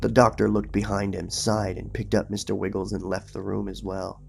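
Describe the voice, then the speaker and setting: A man's voice: on the low side, little pitch movement, moderate pace, vocal fry, soft background music. A man reading a horror story aloud in a dramatic, suspenseful storytelling manner. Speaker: horror story narrator and channel host. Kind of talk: dramatic creepypasta reading, then casual recap.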